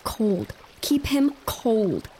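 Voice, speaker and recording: An actress playing a stubborn, sharp-tongued noble wife with a blunt, irritable manner. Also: female voice, a little high, sing-song, very slow, slight background noise, heavily acted, low-quality recording. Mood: exasperated.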